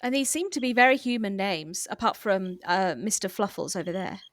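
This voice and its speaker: A woman's voice: mid-range voice, lively intonation, brisk, dry recording, clean studio sound, natural voice. A woman playing a polite, flustered young woman at a hospital, hesitant and stammering, in improvised comedy audio drama. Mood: hesitant, curious.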